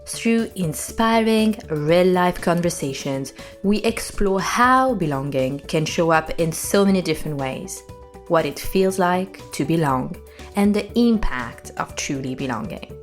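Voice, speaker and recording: A woman in her thirties, from France, hosts a podcast in a reflective, earnest monologue. Her voice sits low for a woman. She often fills her sentences with "you know" and "um" as she thinks aloud. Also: lively intonation, unhurried, faint music behind. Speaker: podcast host.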